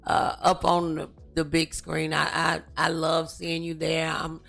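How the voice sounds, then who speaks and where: A woman's voice: low voice, completely flat delivery, measured pace, creaky, a bit bright, faint music behind. A woman hosting a casual interview show, effusive and encouraging with her guest. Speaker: talk show host.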